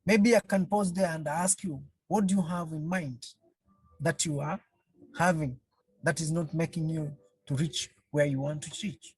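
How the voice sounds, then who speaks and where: Husky-voiced counsellor asking rhetorical questions. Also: mid-range voice, steady tone, no echo, low-quality recording. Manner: asks the audience many rhetorical questions; repeats phrases, slow and halting. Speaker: counselling trainer. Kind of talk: online webinar talk. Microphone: video call.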